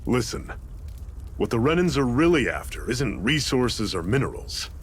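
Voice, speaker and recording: An actor playing a seasoned, fatherly rebel leader in a video game, with a relaxed, folksy, mentoring way of talking. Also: mid-range voice, moderate pace, a little hiss or hum, natural voice. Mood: wry, explaining.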